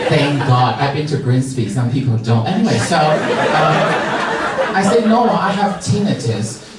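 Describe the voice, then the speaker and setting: A young man from Taiwan with a Taiwanese accent, performing a stand-up comedy set with camp, bitchy deadpan asides. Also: fairly high pitch. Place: comedy club stage with a laughing crowd. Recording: echoey club, distant mic, loud crowd noise.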